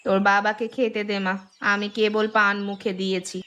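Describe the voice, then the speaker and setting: A woman voicing a stubborn but fond village mother with an offhand, grumbling manner in an animated story. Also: mid-range voice, normal speed, clean tone, close to an everyday voice.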